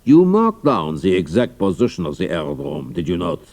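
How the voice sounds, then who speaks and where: Pompous German commander, low gravelly voice, formal, authoritative, audio drama. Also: male voice, wildly swooping pitch, normal speed, a little mellow, exaggerated voice. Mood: commanding, pompous.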